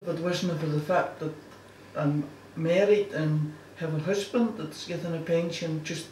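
Older shetland woman talking slow at the kitchen table, far off mic, echoey room, faint hiss. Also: low voice, fairly even intonation, darkish tone. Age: 60s. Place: home kitchen indoors.

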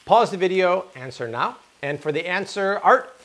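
A man in his thirties hosting a cooking video in a home kitchen, energetic and jokey, chatting with his crew as he cooks. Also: sits high, moderate pace. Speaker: cooking show host. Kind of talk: upbeat cooking demo.

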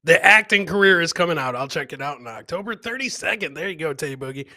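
A man's voice: fairly high pitch, lively intonation, fast talker, bright, forceful tone, no room sound, studio quality. A middle-aged man hosting a live clip show, chatting casually and addressing viewers directly like a hype announcer. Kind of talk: casual livestream chat and commentary. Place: indoor home streaming setup. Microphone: close boom microphone with headphones.

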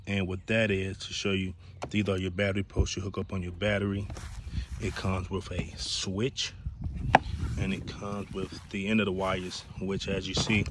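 A man with a fairly low voice talks casually through a hands-on project, step by step and without fuss. He records outdoors on a handheld camera, with faint ambient noise around his voice. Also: a bit bright. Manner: plain, step-by-step explaining. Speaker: boat-owner hobbyist giving a walkthrough. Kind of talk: casual how-to vlog narration. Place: outdoors in a grassy backyard.

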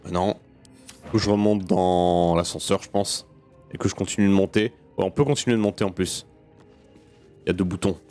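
A man's voice: sits low, fairly even intonation, brisk, pauses often, mellow. A man giving casual let's play commentary, muttering to himself informally and swearing freely. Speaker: gaming video host. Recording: game music behind the voice. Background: video game music.

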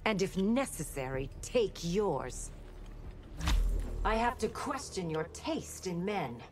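Warrior princess, slow, lively intonation, cool, cutting, game music behind. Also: female voice, on the low side, background noise. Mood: challenging. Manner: cool, deliberate, challenging. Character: poised, sharp-tongued warrior princess. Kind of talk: video game dramatic dialogue.

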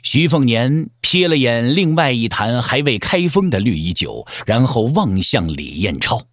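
A man's voice: mid-range voice, big pitch swings, unhurried, no room sound. A man narrating a martial-arts audio drama in a storytelling style. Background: soft background music.

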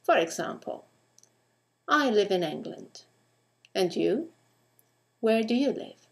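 A woman's voice: mid-range voice, lively intonation, takes it slowly, creaky. A woman teacher narrating a scripted grammar lesson, enunciating carefully and putting questions to the listener.

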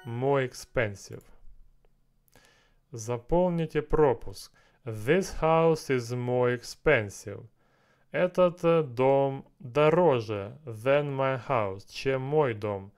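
A man in his thirties speaking slowly and deliberately into a headset, his voice smooth and clean. he mutters along as he works through language drills, echoing and translating each phrase. recorded in a small room. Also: mid-range voice, a little mellow. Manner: slow, repeats and translates phrases, mutters to himself. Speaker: language learner streaming a lesson. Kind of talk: thinking aloud while doing app exercises.

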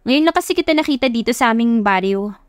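A woman's voice: a little high, lively intonation, quick pace, smooth, no room sound, lightly acted. A woman voicing a feisty, suspicious young woman who works at an eatery, brash and teasing, in an audio love story.

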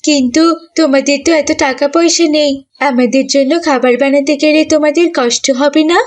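Cartoon casting: a well-off little girl, pitched high, performed by a woman. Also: fairly even intonation, brisk, lightly acted. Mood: puzzled. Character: haughty rich young girl, later worried and sorry. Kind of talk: Islamic cartoon voice acting.

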